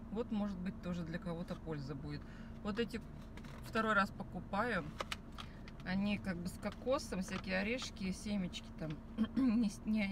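A woman in her thirties chatting casually to camera from a parked car, rambling with many fillers and restarts. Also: medium pitch. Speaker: vlogger. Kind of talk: casual chat. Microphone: phone camera on the dashboard. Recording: car with noise behind.

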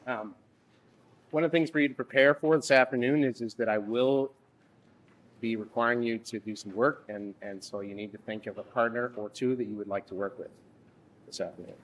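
A man in his thirties leading a workshop from a lectern in a conference room, speaking haltingly with frequent restarts. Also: medium pitch, low-quality recording. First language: English. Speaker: workshop instructor. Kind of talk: workshop presentation.

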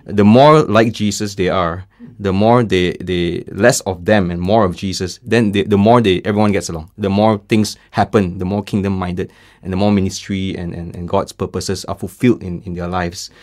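Low-voiced thoughtful radio host, man. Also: fairly even intonation, normal speed, a little mellow. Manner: reflective, thoughtful questioning. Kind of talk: conversational interview. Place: studio.

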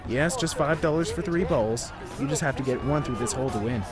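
A man's voice: mid-range voice, brisk, natural voice. A man acting a pushy, goading carnival game barker in an audio drama. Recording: loud fairground music and crowd.

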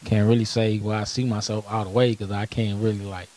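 A man's voice: on the low side, level tone, a little fast, mellow. A young man with an Atlanta accent chatting casually and slangily as an interview guest, swearing freely, on a handheld microphone. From Atlanta, born in Puerto Rico. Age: young adult. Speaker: rapper being interviewed. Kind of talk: casual chat. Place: small room, seated on a couch. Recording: clear background noise and hiss.

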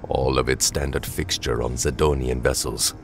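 Seasoned alien starship pilot played by a man: extremely deep, terse, grave; audio drama, faint music bed. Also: fairly even intonation, normal speed. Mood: grave, calm. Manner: terse, matter-of-fact.

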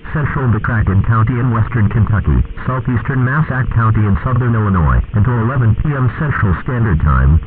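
A synthetic-sounding man reading a scripted emergency weather warning in a formal, measured, impersonal way. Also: mid-range voice, noisy background. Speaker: emergency alert announcer. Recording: narrow, phone-like radio broadcast sound.

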